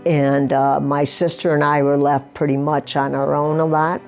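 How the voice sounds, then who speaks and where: A woman's voice: sits low, flat delivery, moderate pace, darkish tone, faint music behind. A woman in her sixties in recovery, giving plain, candid personal testimony in an interview. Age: 60s.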